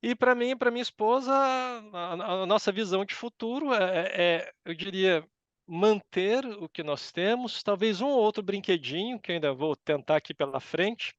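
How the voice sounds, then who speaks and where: A middle-aged man with a Brazilian Portuguese accent giving thoughtful, halting answers as an interview guest. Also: high-pitched, animated, no echo, dull audio. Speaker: interview guest, university professor. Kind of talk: conversational interview answers, reflective.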